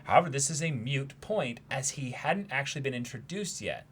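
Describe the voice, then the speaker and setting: A man co-hosting a casual livestream, reading out viewer messages and thinking aloud in an animated, sometimes halting way. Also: mid-range voice, slight background noise. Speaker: livestream co-host.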